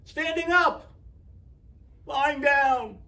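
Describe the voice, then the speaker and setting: A man voicing stubborn, quarrelling townspeople in a storybook, shouting insistently in a high shriek. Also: drawn-out words, gravelly, heavily acted. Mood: screaming, stubborn.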